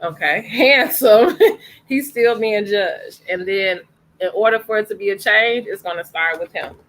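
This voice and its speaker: A woman's voice: mid-range voice, measured pace, fairly bright tone, low-quality recording. A woman hosting an online story time, recapping each page in a casual, encouraging way. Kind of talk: casual read-aloud with commentary.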